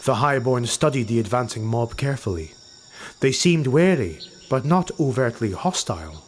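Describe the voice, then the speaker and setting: A man reading a fantasy audiobook as narrator in a measured, dramatic style. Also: mid-range voice, lively intonation, a little hiss or hum.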